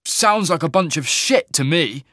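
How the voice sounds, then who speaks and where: A man voicing a gruff, weary male sellsword hero who talks bluntly and loudly. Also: high-pitched, big pitch swings, normal speed, no echo, clean studio sound, pitched above the performer's own voice. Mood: scornful. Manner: raised, blunt and scornful.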